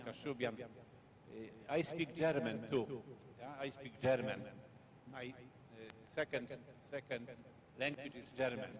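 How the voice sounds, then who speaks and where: Polish-accented male guest lecturer, slow and halting, faint rumble behind. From Poland. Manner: halting, repetitive, short fragmented phrases. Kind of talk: academic lecture with slides. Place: lecture hall.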